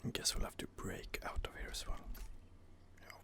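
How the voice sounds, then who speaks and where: A man softly whispering ASMR commentary on a fantasy game he is playing, rambling and hesitant, close to the microphone. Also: normal speed. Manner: soft-spoken, rambling, hesitant. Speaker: gaming channel host.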